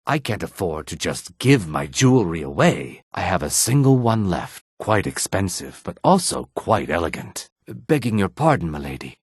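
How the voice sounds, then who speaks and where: Low husky lizard man merchant for a fantasy game, polite n formal, kinda weary, really dry close recording. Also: sing-song, normal speed, no echo, full character voice. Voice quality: raspy, husky, throaty. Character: courteous male Argonian lizard-folk merchant, weary and dignified. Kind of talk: fantasy video game character dialogue.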